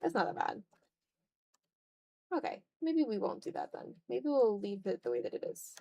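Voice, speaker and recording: Woman art streamer, fairly high voice, off-the-cuff and self-deprecating, muttering through her process to viewers. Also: huge pitch swings, moderate pace, creaky, mellow. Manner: self-deprecating, thinking aloud in fragments. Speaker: art livestreamer. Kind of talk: casual livestream chat while drawing.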